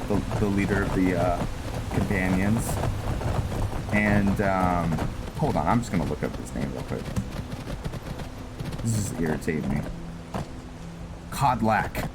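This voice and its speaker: Over a clearly noisy background, a man with a fairly low voice talks through a video game playthrough. His delivery is slow and halting, and he rambles casually as he thinks out loud and searches for the right word. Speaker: gaming video host narrating a roleplay playthrough.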